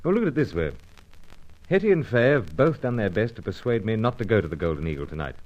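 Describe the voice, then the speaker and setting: Deep-voiced earnest secret agent. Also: male voice, big pitch swings, fast talker, a little mellow, muffled recording. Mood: urgent. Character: earnest, conscientious British male secret agent hero. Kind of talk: old-time radio serial acting and narration.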